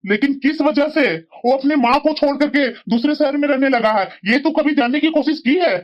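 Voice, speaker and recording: A woman's voice: high voice, brisk, dull audio, close to an everyday voice. A woman voicing a sensible middle-aged husband in a family moral-story cartoon, scolding and lecturing reproachfully. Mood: firm.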